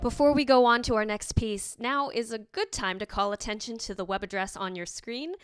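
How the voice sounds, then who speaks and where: A woman's voice: a little high, fairly quick. A woman presenting in scripted narration, with music behind her.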